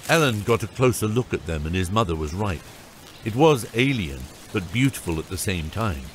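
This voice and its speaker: Low-voiced male audiobook narrator. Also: lively intonation, moderate pace, slight background noise. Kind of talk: scripted fantasy audiobook narration.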